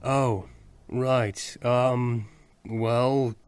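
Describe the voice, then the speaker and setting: An actor playing a friendly young male survivor in an audio drama, casual and bantering with gruff teasing. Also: medium pitch, fairly even intonation, very slow, no room sound.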